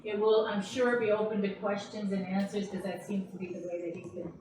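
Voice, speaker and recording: A woman's voice: mid-range voice, fairly even intonation, brisk, crowd noise. A woman from Wisconsin, hosting a home gathering and chatting casually with fillers. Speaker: host. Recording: echoey room from far off with gathered people.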